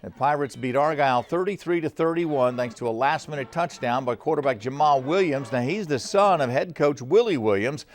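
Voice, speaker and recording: A man's voice: mid-range voice, brisk, hardly any pauses. A man reading scripted television news as an anchor in a studio.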